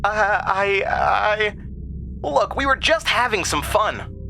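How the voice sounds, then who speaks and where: A man's voice: sits high, animated, takes it slowly, gravelly, bright, forceful tone, a little hiss or hum, voice pushed up, heavily acted. A man voicing a nervous, hesitant young schoolboy who stammers, in a horror audio drama. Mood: flustered, protesting.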